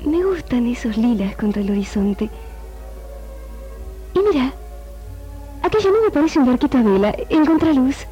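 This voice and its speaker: A restless, melancholy heroine in an old Argentine radio play. Her tone is dark and soft-edged, on a dull archive tape with faint music behind. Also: high-pitched, very animated, normal speed, long pauses. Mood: softening. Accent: Rioplatense Argentine. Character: weary, restless, melancholy woman who wants solitude. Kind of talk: 1960s radio drama acting.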